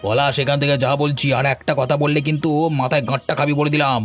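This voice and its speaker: Man as an emphatic, higher-voiced cartoon villager. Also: level tone, fast, fairly bright tone, lightly acted. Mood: indignant, scolding. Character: male villagers in a cartoon, bantering and quarrelling. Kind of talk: Bengali cartoon voice acting. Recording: faint music behind the voice.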